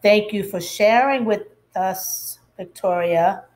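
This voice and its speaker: A woman voicing a female mouse schoolteacher in a storybook, measured and firm. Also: mid-range voice, darkish tone, close to an everyday voice.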